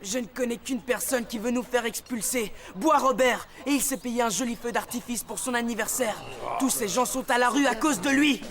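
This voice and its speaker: A man's voice: extremely high pitch, normal speed, crowd noise. An actor playing a determined young male journalist in a French cartoon, earnest and resolute in manner. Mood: indignant. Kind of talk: French animated series acting.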